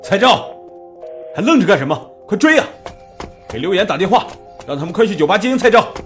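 A man's voice: high-pitched, very animated, a little fast, a bit bright, soft background music. A man acting a commanding police team leader in an audio drama. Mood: urgent, alarmed.

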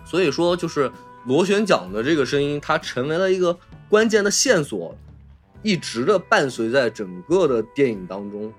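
A man's voice: fairly high pitch, sing-song, moderate pace. Man explaining film over background music. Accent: Mainland Chinese Mandarin. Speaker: podcast host. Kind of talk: casual film discussion.

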